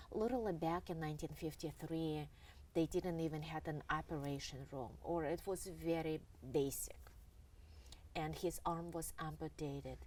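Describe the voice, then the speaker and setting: A middle-aged woman from Russia, an author telling family stories in an interview in a halting, earnest way with many pauses. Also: sits low, darkish tone. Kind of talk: reflective storytelling in an interview. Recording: distant mic with faint background noise.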